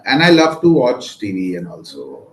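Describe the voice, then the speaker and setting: Indian man with a low voice, echoey room. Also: huge pitch swings, normal speed. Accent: Indian English. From India, West Bengal. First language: Maithili. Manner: rambling, with many fillers and trailing off. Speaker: guest, real estate lawyer. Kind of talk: casual interview conversation. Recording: echoey room with faint noise.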